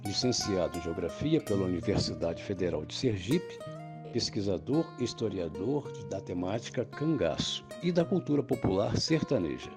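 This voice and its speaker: Expressive male host over music. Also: deep voice, normal speed. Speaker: interviewer and host. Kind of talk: scripted introduction and interview questions.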